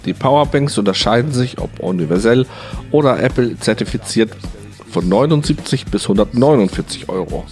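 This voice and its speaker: A man's voice: medium pitch, lively intonation, normal speed, a little mellow. A man reading a scripted voice-over translation of an interview. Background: music.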